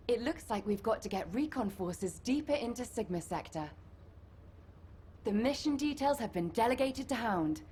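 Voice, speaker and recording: Woman acting a high-voiced, breezy recon operative. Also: slight background noise, natural voice. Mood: upbeat, teasing. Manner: informal, chatty, teasing. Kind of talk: video game mission dialogue acting.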